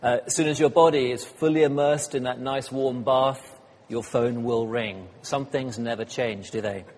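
Husky-voiced man preaching in a hall. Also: medium pitch, fairly even intonation, moderate pace, darkish tone. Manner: chatty, self-deprecating, many ums and uhs. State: slightly hoarse. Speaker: guest speaker. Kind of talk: sermon with light humor. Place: church hall. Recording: church hall reverb.

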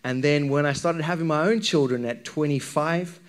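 A man's voice: on the high side, normal speed. A man with a Zimbabwean accent preaching a sermon to a congregation in a conversational, questioning manner. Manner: conversational, engages listeners with questions and anecdotes.

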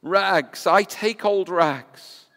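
A man voicing a strong young ragman in a story, calling out his wares in a clear, drawn-out street cry in an echoing hall. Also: high voice, a bit bright, exaggerated voice. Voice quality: clear, ringing call. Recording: echoey hall with long reverb.

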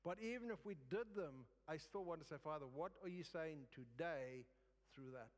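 A man giving a sermon as a guest preacher, in a halting way with phrases repeated for emphasis. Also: a little high. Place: church service in a large room. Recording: reverberant hall.

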